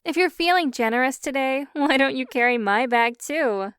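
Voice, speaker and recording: A woman's voice: high-pitched, normal speed, a bit bright, clear, resonant tone, dry recording, clean studio sound. A woman playing a caring, teasing young best friend in an audio roleplay, chatty and a little hesitant as she talks. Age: young adult. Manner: chatty, hesitant, self-correcting, playfully teasing. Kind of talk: interactive audio roleplay acting.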